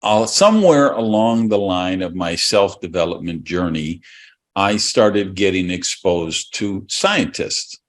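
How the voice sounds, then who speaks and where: Midwestern male host, low voice, slow unhurried delivery, reflective, pauses to find words. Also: animated. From Madison, Wisconsin, USA. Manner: reflective, drawling, searching for words. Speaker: podcast host. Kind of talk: casual conversation.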